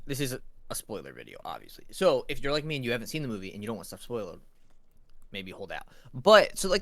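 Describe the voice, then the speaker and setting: A man co-hosting a casual review chat, supportive and a little halting as he puts questions. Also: medium pitch, fairly bright tone, no echo. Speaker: co-host asking the questions.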